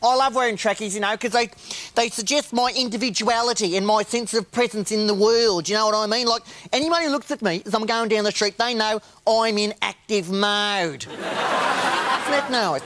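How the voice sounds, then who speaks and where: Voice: medium pitch, moderate pace, fairly bright tone, slight background noise, natural voice. An actor playing a vain older former tracksuit model who rambles on, pleased with herself. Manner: chatty, self-satisfied, rambling. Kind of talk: sketch comedy acting.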